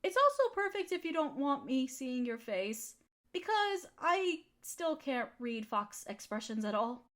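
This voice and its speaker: Woman acting a nurturing girlfriend, high voice, affectionate, teasing, soothing pet-talk, intimate audio roleplay. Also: sing-song, unhurried, fairly bright tone, full character voice. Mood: teasing, tender. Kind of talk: intimate comfort roleplay monologue.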